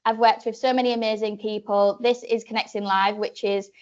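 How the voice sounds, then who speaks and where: Young Yorkshire woman, mid-pitched, chatty presenter who rambles, slightly muffled recording. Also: flat delivery, brisk, a little mellow. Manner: chatty, rambling, with filler words and restarts. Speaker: presenter giving a talk to apprentices. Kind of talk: informal presentation.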